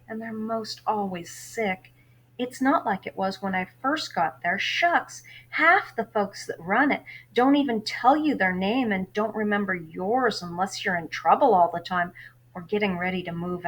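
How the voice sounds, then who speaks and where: A woman's voice: mid-range voice, a bit bright, natural voice, rough recording. A woman reading a children's novel aloud as a plainspoken, exasperated young orphan boy who grumbles in folksy words. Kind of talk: first-person children's novel read-aloud.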